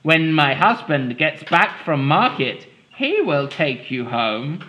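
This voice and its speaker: A man's voice: fairly high pitch, big pitch swings, slow, pushed and bright, exaggerated voice. A man voicing an old woman in a storybook, sweetly welcoming. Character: old woman, giant's wife. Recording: dull lo-fi recording, from off-mic.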